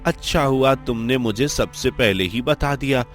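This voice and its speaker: A man's voice: medium pitch, a little slow, soft background music, pitched above the performer's own voice, natural voice. A man voicing wary, curious villagers who gossip earnestly in a conversational cartoon story dialogue. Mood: wary, concerned.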